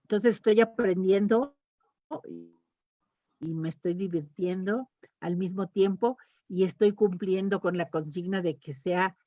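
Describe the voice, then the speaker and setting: Slow-talking woman, echoey room. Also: medium pitch, mellow, muffled recording. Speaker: panelist talking about reading habits. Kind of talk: casual conversational talk at a round table.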